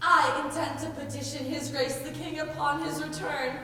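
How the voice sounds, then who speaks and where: Teen female actor as indignant nun, high voice, measured sarcastic stage delivery, distant in a noisy hall. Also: little pitch movement, pushed and bright. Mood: indignant, haughty. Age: teenager. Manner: emphatic, haughty, sarcastic. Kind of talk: high school stage play acting. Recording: distant, echoey hall with background noise.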